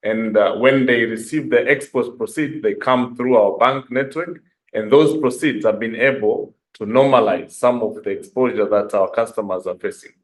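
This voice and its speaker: A man's voice: medium pitch, moderate pace, poor audio. A man gives a formal, explanatory answer as a bank executive on a corporate results call. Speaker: bank head of asset and liability management.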